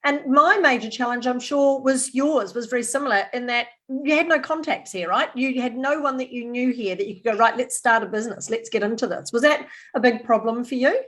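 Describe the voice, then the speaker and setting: Female host, somewhat high voice, upbeat, encouraging interviewer. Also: a little fast, hardly any pauses, fairly bright tone. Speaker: podcast host. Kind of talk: interview hosting. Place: remote call.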